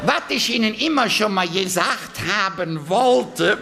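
A man voicing a friendly older gentleman who gushes politely at him in the street. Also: sits high, expressive, unhurried, creaky, noisy background, pitched above the performer's own voice, heavily acted. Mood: gushing. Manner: effusive, overly polite. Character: friendly, gushing older male fan.

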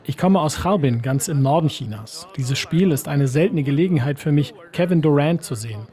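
A man's voice: on the high side, animated, fairly quick, a little mellow. A man narrating a scripted radio report as a foreign correspondent.

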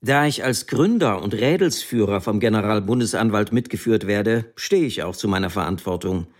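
An actor reading the court statement of a defiant ex-soldier defendant in a combative, insistent manner. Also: male voice, fairly low pitch, animated, moderate pace. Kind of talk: re-enacted courtroom testimony.

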